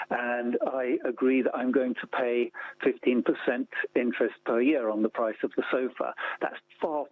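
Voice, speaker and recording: A man being interviewed on the radio, explaining policy in a measured way with repetition for emphasis. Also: medium pitch, dry recording. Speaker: interview guest, policy campaigner. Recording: thin phone-like line.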